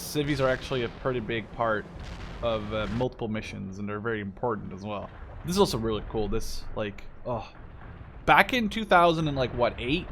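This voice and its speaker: A man in his twenties giving casual, rambling, playful let's play commentary on a video game. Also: mid-range voice. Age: 20s. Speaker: gaming video host. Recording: game battle noise behind voice. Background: game gunfire, artillery and vehicles.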